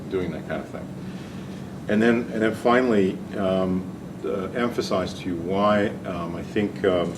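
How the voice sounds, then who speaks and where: An older man from Hungary, a physician and researcher giving a talk, speaking hesitantly with many ums and restarts. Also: deep voice. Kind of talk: lecture-style talk. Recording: distant mic with audible room noise.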